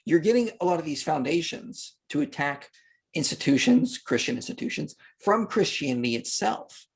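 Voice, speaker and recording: A man's voice: a little high, breathy edge. A man speaking as an interview guest, explaining history in unscripted podcast talk with an emphatic, argumentative manner.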